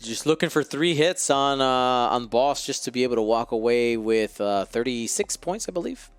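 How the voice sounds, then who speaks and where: A man's voice: mid-range voice, expressive, normal speed, dry recording. A man co-hosting a live stream of a tabletop miniatures game, commentating in a chatty way and bantering playfully with viewers. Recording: close dry mic with faint music.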